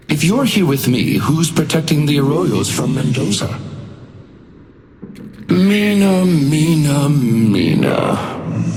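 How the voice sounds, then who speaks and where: A suave, otherworldly villain of a video game, plays the courteous doorman with theatrical menace. His mocking lines swoop widely in pitch through a cavernous reverb, with music behind. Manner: silky formal politeness laced with cruel taunting.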